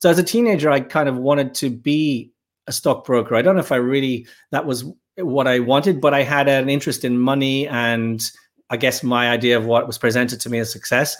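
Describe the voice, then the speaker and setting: A man, a podcast guest talking casually and thoughtfully, pausing often. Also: medium pitch, steady tone. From Ireland. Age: 50s. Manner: thoughtful, halting, with frequent pauses and fillers. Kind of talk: casual interview talk.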